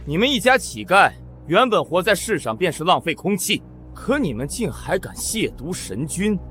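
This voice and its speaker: An arrogant bully, contemptuous, piercing, very high. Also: male voice, sing-song, normal speed, soft background music. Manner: contemptuous, shouting. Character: arrogant young man scorning beggars. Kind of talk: short-drama acting.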